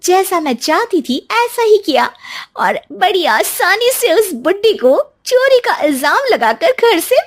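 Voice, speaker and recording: Casting: spiteful young cartoon wife, very high, swooping, sly and theatrical. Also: female voice, sing-song, measured pace, hardly any pauses, heavily acted. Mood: gloating. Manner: shrill, dramatic, sly. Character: scheming, spiteful young daughter-in-law.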